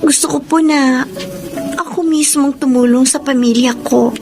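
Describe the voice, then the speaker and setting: A woman's voice: sits high, fairly even intonation, moderate pace, music in the background. A woman playing a dutiful, respectful young granddaughter in an emotive Filipino radio drama, speaking politely with a Filipino accent. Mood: earnest, pleading.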